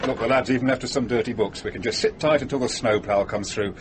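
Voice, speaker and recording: An actor playing a big, gruff, teasing van driver with a wry, matey manner in a radio drama. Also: male voice, mid-range voice, fairly even intonation, fast talker, lightly acted. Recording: noisy radio drama recording.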